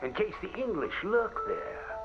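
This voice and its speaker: A man's voice: high voice, big pitch swings, measured pace, lo-fi sound. A man acting as a folksy storyteller, telling a spooky cautionary tale with dramatic, old-fashioned diction. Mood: dramatic, foreboding. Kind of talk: theatrical radio-style storytelling. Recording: band-limited old radio sound, loud music behind.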